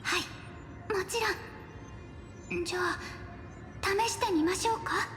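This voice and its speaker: A woman voicing a sweet, earnest young priestess-like girl in a fantasy game, soft and solemn. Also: very high voice, fairly quick, reverberant, long reverb tail, faint music behind. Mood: willing. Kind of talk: Japanese game voice acting.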